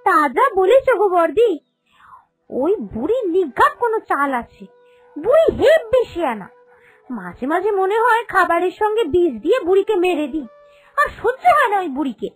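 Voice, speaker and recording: A woman voicing a greedy, spiteful younger daughter-in-law in a cartoon, whiny and excitable in manner. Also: very high voice, normal speed, low-quality recording. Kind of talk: cartoon storytelling dialogue. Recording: voice over background music.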